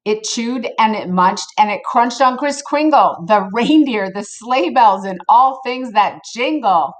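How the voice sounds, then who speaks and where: A woman reading a picture book aloud to children in an expressive, playful way, with casual asides to her listeners. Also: a little high, measured pace. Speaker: storybook reader for children.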